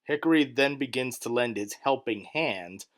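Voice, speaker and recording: A man narrates a radio drama with a bright, forceful voice of middling pitch. His delivery is scripted, theatrical and tongue-in-cheek. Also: normal speed.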